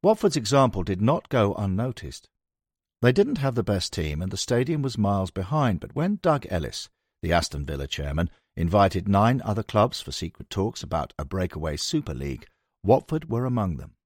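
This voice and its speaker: Low-voiced man narrating, lively range. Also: moderate pace, breathy edge, dry recording, studio quality. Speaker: audiobook narrator. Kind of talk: scripted narration of a sports history book.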